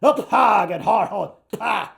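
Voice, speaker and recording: A man's voice: sits high, expressive, slow pace, vocal fry, full character voice. Man playing snappy, scornful brawler. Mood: angry, scornful. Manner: blunt, exclamatory, snappy. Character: gruff, snappy pub brawler shouting crude opinions.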